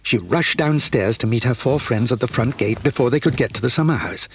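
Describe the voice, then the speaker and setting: A man's voice: medium pitch, a little fast, a little hiss or hum. A man reading scripted narration for a children's story as the narrator.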